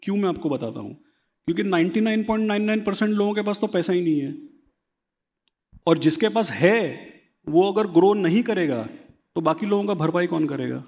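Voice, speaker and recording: A man's voice: high voice, lively intonation, brisk, a little mellow, big-room sound. A man as a finance expert guest in an interview, explaining at length and often checking for agreement. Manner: explanatory, seeks agreement with repeated tag questions. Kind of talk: conversational interview, Hindi-English mix.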